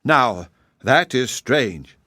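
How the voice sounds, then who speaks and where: A man voicing a shrewd, proud little male hedgehog who speaks calmly and slyly. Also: medium pitch, very slow, no echo, studio quality, full character voice. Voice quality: calm, sly, plain-spoken.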